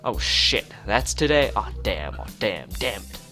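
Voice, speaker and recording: A man's voice: on the low side, big pitch swings, measured pace, sharp tone, exaggerated voice. A man voicing a paranoid young male student in a visual novel, agitated and dramatically complaining. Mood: despairing. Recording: music playing behind the voice.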